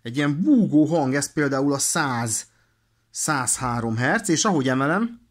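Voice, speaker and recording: A man explains things in an informal, halting way, thinking aloud as he presents a hands-on demonstration. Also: a little high, expressive. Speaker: presenter of a radio equipment demonstration. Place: indoors at a desk.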